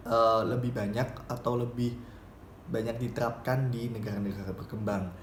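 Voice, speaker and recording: A young man speaks in a medium-pitched voice, presenting a paper as a student would. His delivery is semi-formal and explanatory but halting, with repeated phrases. The room echoes around him, with faint noise behind. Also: darkish tone. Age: young adult. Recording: roomy echo, faint background noise.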